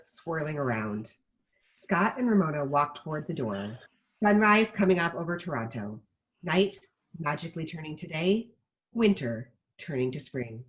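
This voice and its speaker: A woman reading stage directions as scripted narration at a table read, in an animated manner. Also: sits low, low-quality recording.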